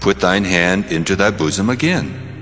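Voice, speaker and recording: Man voicing a deep, reverberant, commanding God. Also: expressive, moderate pace, fairly bright tone. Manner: solemn, authoritative, declamatory. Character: God, commanding and solemn male deity giving orders. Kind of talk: dramatized scripture reading. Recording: long cavernous reverb over music.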